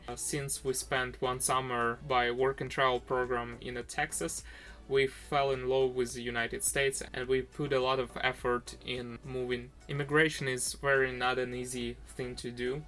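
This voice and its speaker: A young man with a Ukrainian accent tells his own story in earnest, simple English, with small slips in grammar. His voice sits at an ordinary middle pitch for a man. Faint music plays beneath the voice-over. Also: a bit bright. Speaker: vlogger, diorama maker.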